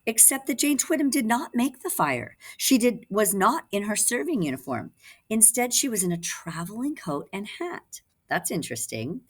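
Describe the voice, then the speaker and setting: A woman's voice: medium pitch, big pitch swings, normal speed, slightly rough. A woman reading teacher giving a children's read-aloud, instructive, with explanatory asides to young listeners.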